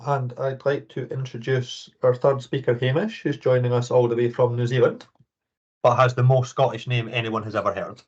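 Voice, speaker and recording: A man's voice: mid-range voice, flat delivery, moderate pace. A man casually hosting an online meetup, chatting over a video call. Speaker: meetup host. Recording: dull online call audio.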